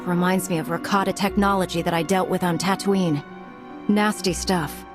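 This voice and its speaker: A steadfast young female knight from a video game voiced by an actress. She speaks directly and calmly in a lowish voice, with loud music underneath. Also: moderate pace, natural voice. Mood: focused, questioning. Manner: composed, direct. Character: steadfast, composed heroic female Jedi knight. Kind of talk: video game dialogue acting.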